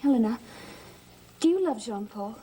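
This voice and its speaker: A woman's voice: on the high side, expressive, normal speed, dark tone, close to an everyday voice, poor audio. An actress playing a guarded, earnest young woman in a gothic soap drama, speaking plainly. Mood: wistful, pleading. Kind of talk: 1960s-70s gothic TV soap acting.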